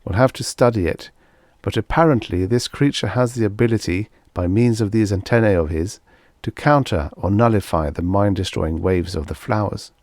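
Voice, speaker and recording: A male narrator reads as a thoughtful explorer in a vintage science fiction story. He explains in earnest, with a fairly low voice, recorded dry and close. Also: moderate pace, darkish tone, no echo.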